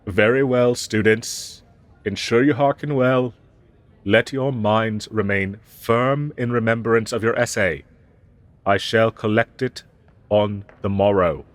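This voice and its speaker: A low male voice , acted by a man, for an ancient dragon who teaches human students in a fantasy audio roleplay. He is haughty and pompous, choosing archaic, formal words. His delivery is deliberate and full of disdain for lesser creatures. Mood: stern lecturing. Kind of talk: roleplay audio drama, scripted monologue.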